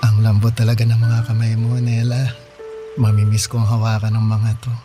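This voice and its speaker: An actor in a Tagalog radio drama playing a shy, earnest young provincial suitor who speaks in a bashful, halting, tender way. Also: male voice, fairly low pitch, completely flat delivery, natural voice. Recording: music playing behind the voice.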